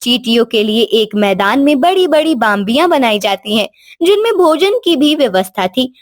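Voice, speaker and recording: A woman narrator with a high-pitched voice tells a scripted story. The sound is dry and close, with no room echo, in the style of storytelling narration. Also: sing-song, normal speed.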